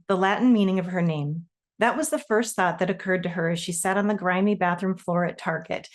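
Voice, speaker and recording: This is a woman with a voice a little lower than most. She is an author at a literary reading, presenting her own work of fiction in her own voice. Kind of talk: reading own novel aloud, with informal introduction.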